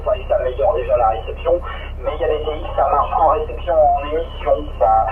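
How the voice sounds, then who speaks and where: Tinny radio transmission, heavy noise, man, rambling casual chat, searching for words. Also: medium pitch. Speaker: amateur radio operator. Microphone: two-way radio transmission. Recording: noisy, tinny, distant two-way radio link.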